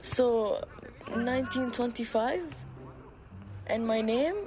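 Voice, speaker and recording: A woman's voice: a little high, crowd noise, low-quality recording. A woman voicing an eager, cheeky ten-year-old boy who dreams of football, talking in a scheming, playful way. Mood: fibbing. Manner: cheeky, fast-scheming, plays commentator.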